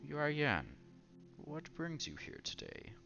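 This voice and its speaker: A man voicing a reserved, polite elderly gentleman from a visual novel, speaking in a measured, halting way. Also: a little high, very animated, gravelly, dull audio, soft background music, pitched above the performer's own voice, heavily acted.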